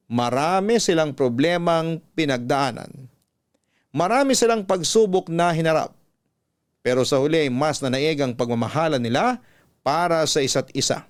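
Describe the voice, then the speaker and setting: A man's voice: fairly high pitch, clear, resonant tone. A man with a Filipino accent reading a scripted first-person story as a radio storyteller.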